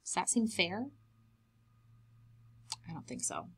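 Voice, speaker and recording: A woman's voice: medium pitch, very animated, slow, vocal fry, a bit bright, breathy edge. A young adult woman reading a picture book aloud as a preschool teacher, explaining things simply to young children.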